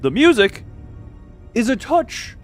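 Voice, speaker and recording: A man's voice: very high-pitched, huge pitch swings, takes it slowly, soft background music. A man streaming a video game with casual, wry commentary, often muttering to himself as he plays.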